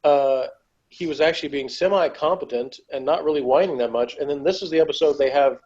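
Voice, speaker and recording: A man's voice: fairly high pitch, fairly quick, a little mellow, slightly rough. A man talking casually as a reviewer in an informal discussion. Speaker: podcast reviewer. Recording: slightly dull, muffled audio.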